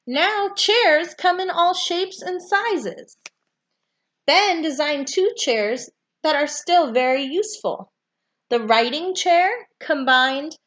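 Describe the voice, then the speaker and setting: A woman's voice: high-pitched, fairly bright tone. A woman reading a children's nonfiction book aloud as narration.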